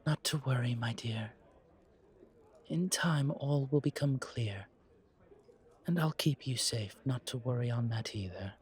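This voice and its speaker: A man voicing a pompous, disdainful vampire gentleman with fussy, formal speech in an audio roleplay. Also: mid-range voice, a little slow, pauses often, lightly acted. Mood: reassuring. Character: pompous haughty male vampire gentleman, disdainful yet protective.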